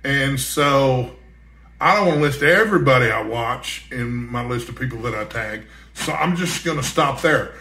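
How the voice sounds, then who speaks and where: A man's voice: mid-range voice, animated, moderate pace, bright, forceful tone. An American man chatting casually as a book vlogger, in a folksy, rambling way. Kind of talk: casual chat to camera. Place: indoor room at home. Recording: echoey, roomy sound.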